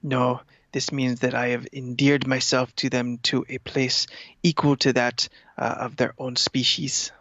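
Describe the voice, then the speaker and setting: A man's voice: mid-range voice, little pitch movement, a bit bright, dry recording. A man doing a pompous scheming cat. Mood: condescending. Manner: pompous, slow and formal. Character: haughty male cat scheming to rule humans. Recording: dull lo-fi sound.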